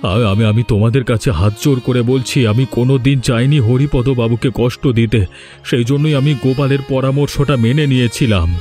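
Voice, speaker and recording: A man playing a proud, domineering village landlord in a cartoon drama, speaking with deliberate authority. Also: mid-range voice, steady tone, a little mellow, soft background music, natural voice. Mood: remorseful. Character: stern, domineering village landlord, male, proud but remorseful. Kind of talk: Bengali cartoon drama dialogue.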